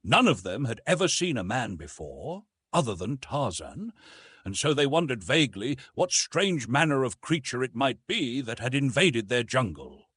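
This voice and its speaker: Male audiobook narrator, dramatic adventure reading, animated intonation, slightly rough husky tone, dry close sound. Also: mid-range voice, normal speed, no room sound.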